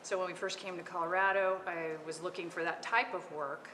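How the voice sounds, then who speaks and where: A middle-aged woman from California, an artist on a panel, talking about her work with many hesitant pauses. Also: lowish voice, fairly even intonation. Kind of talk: unscripted panel talk. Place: art gallery. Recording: echoey gallery with long reverb and noise.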